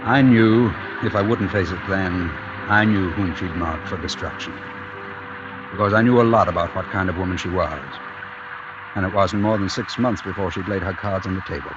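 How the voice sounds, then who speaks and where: An actor playing a conflicted lover being drawn into murder, earnest, wavering and protesting, in vintage radio drama. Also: male voice, sits low, moderate pace, lightly acted. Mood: grim, confiding. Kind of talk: 1940s-50s radio drama acting. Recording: dull, noisy old radio recording.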